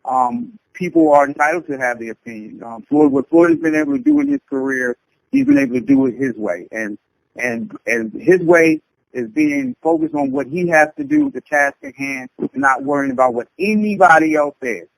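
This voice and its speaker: Man, spokesman on a press call, gravelly, dry sound, careful and stop-start. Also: on the high side, sing-song, moderate pace, no room sound, rough recording. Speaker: promoter and spokesman for the boxer. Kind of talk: conference call remarks to press. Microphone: conference call line.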